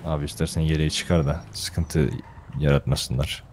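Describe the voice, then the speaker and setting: A man's voice: rumbling low, steady tone, normal speed, darkish tone, slight background noise. A man with a Turkish accent chatting casually in a roleplay game, deferring to his gang leader. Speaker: fellow player, gang member.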